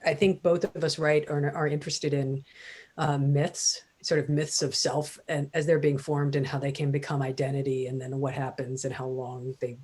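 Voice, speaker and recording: Woman, low voice, thoughtful, hesitant, lots of fillers, author chat. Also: level tone, moderate pace, a little mellow, husky. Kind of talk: casual literary conversation.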